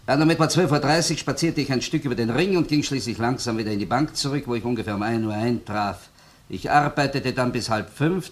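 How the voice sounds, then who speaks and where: An actor playing a gruff, sardonic Viennese police investigator in a colloquial crime radio play. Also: male voice, medium pitch, natural voice. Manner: colloquial, sardonic, keeps tagging sentences with "ne?". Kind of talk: German-language crime radio play acting.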